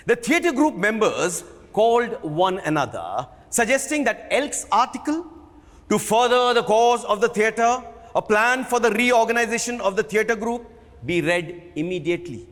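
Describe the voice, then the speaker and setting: Indian guy doing a theatrical book reading, really slow, swoops up and down, echoey hall. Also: high voice, lively intonation, a bit bright. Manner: theatrical, measured storytelling delivery. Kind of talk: dramatic reading of a biography. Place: live reading in an event hall. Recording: reverberant hall.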